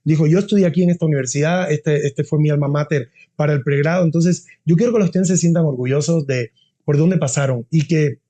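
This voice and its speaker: Man, middle-aged, university dean, earnest chat, slightly high pitch, soft dark tone. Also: fast. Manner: earnest, chatty, restarts phrases often. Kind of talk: casual interview conversation.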